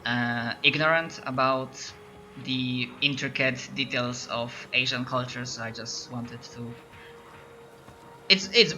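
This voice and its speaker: A man's voice: mid-range voice, fairly even intonation, slow pace, noisy background. A man from Poland with a Polish accent, narrating as game master and often stopping to restart his sentences. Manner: hesitant, lots of restarts.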